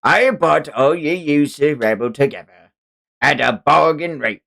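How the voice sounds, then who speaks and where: A man's voice: fairly high pitch, measured pace, vocal fry, a bit bright, voice pushed up. A man voicing a cruel, greedy pirate captain and slave trader in a manga read-along, sneering with archaic seafaring phrasing. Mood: contemptuous.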